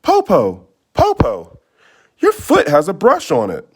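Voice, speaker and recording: A man plays a clever young girl from a storybook, the bold eldest sister. The voice lifts high and swings widely in a sing-song, questioning way. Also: huge pitch swings, takes it slowly, breathy edge, full character voice. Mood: wary, questioning.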